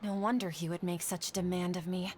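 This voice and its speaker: A woman's voice: lowish voice, fairly even intonation, a little fast, a little mellow, slight background noise, natural voice. A woman voicing an earnest, polite and hesitant young woman from the desert in an anime-style video game. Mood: surprised, deferential. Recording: game music behind the voice.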